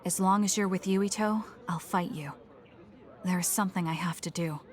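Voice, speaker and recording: A woman's voice: lowish voice, normal speed, natural voice. An actress playing a cool, blunt, determined young female soldier in a video game, reserved and matter-of-fact. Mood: cool, firm.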